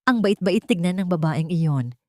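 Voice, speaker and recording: A woman's voice: fairly low pitch, animated, normal speed, warm tone, dry recording, lightly acted. Society gossip for audio drama, voiced by a woman: genteel, politely shocked, incredulous, dry recording.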